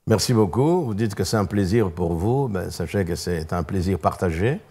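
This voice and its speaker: Older man from Congo, pastor guest, deep voice, halting measured telling with many pauses. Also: lively intonation, dark tone, slightly rough. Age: 60s. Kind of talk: hesitant, thoughtful recounting. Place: television studio.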